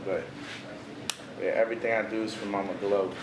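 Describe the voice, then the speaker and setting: Young man, low-set voice, relaxed slang-heavy guest, roomy echo with steady background noise. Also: a little fast. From Washington DC area. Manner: laid-back, heavy on "bro" and "you know" fillers. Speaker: visual artist, interview guest. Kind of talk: casual interview chat. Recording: echoey room with noticeable background noise.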